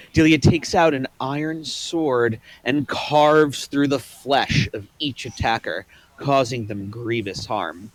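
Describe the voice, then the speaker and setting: A man's voice: mid-range voice, poor audio. A man reading scripted stage directions as narrator for a table read.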